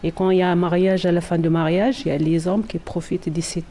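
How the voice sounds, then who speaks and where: A woman's voice: lowish voice, level tone, fast, mellow. A woman who grew up between the Netherlands and Morocco, speaking informally in an interview and searching for words. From France, Morocco and the Netherlands. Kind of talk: casual interview talk.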